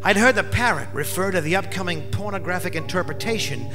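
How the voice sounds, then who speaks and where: An Australian actor playing a wry, world-weary private detective, narrating a noir parody radio play in a deadpan, declamatory way. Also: male voice, sits high, fairly quick, over music. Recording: live stage radio play broadcast.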